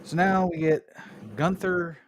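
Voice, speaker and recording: A man's voice: high-pitched, little pitch movement, measured pace, background noise. A man hosting a podcast, recapping wrestling in a casual, joking way with swearing. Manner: swearing, laughing recap with jokey put-on voices. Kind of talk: casual chat.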